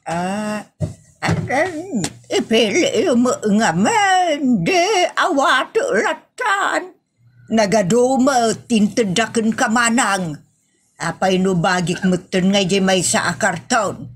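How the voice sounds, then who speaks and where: A woman's voice: a little high, lively intonation, slow pace, slight background noise. An Ilocano-accented woman playing a shameless, wheedling, whiny poor village woman in a comedy audio drama. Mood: demanding, whiny.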